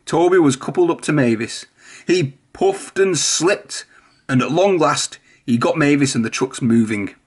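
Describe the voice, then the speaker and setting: A man's voice: a little high, lively intonation, a little slow, slightly rough. A man reading a children's storybook aloud, narrating expressively. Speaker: storybook reader.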